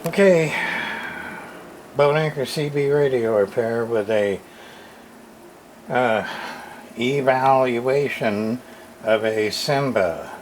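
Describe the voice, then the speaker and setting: A man giving a casual hands-on repair demonstration, muttering to himself in halting short phrases with plain, folksy, mildly salty wording. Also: mid-range voice. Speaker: radio repairer, channel host. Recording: clearly noisy room recording, background ambience.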